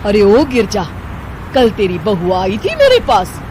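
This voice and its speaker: A woman playing a jealous, meddling older aunt in an animated moral story, scheming and scornful in manner. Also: mid-range voice. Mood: sly, goading. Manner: scheming, scornful, drawn-out complaining. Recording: clear background noise, outdoor street ambience.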